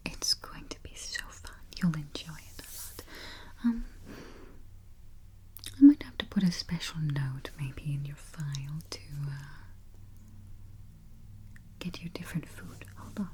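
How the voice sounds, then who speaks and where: A woman whispering softly as a travel agent in an ASMR roleplay, murmuring little mm-hmms between phrases, close to the mic. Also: deep voice, slow, pauses often. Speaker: ASMR artist playing a spooky travel agent. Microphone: close sensitive mic. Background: keyboard typing and finger taps.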